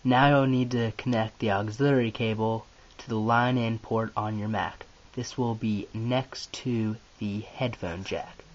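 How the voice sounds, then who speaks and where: A man's voice: lowish voice, fairly even intonation, a little slow, muffled recording. A man narrating a step-by-step computer tutorial as its host.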